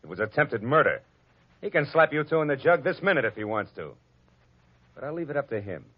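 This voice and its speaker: A man's voice: medium pitch, very animated, brisk, slightly rough, no echo. An actor playing a cynical, wisecracking male private detective in a 1940s radio drama, narrating in a tough, dry manner. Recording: dull old radio broadcast recording.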